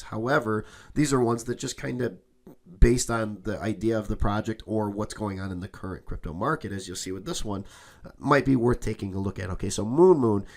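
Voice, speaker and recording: A young man hosts a casual crypto commentary, talking loosely and repeating himself, often checking in with "okay?". He is fighting a cold, so his somewhat low voice comes out a little raspy. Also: a little mellow. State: has a cold, a bit raspy. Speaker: crypto channel host. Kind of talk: casual screen-share commentary.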